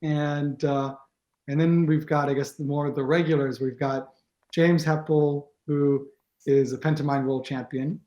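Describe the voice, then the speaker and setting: A man's voice: on the high side, little pitch movement, a little slow, warm tone. A man with an American accent, who grew up in Israel, chatting as a guest on a video call, rambling with many fillers and restarts. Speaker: guest, team captain. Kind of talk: casual video-call interview. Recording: echoey room.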